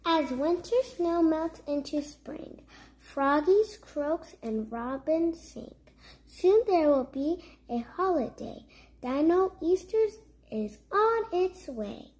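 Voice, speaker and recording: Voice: high voice, darkish tone, rough recording. A child reading a rhyming picture book aloud as a story-time host, carefully and word by word.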